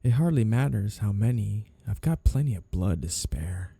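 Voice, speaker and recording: A man playing a flirty, two-faced mafia boss in an audio roleplay, menacing and obsessively in love, smooth-talking and teasingly polite as he threatens. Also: lowish voice, expressive, normal speed, mellow, no room sound, exaggerated voice. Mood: calmly menacing. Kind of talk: audio roleplay ASMR acting.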